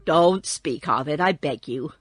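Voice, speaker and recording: A woman voicing a humble, kindly tin woodman from a storybook, formal, measured and earnest. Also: low voice, dry recording, studio quality, voice pushed down. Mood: modest.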